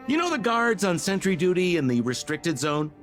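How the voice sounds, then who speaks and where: A man's voice: high-pitched, sing-song, a bit bright. A man playing a sly, theatrical scam artist in a video game, breezy and smarmy, with a glib, wheedling way of stretching words. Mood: smarmy, flattering. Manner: glib, wheedling, drawn-out emphasis. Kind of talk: video game character dialogue. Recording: game music behind the voice.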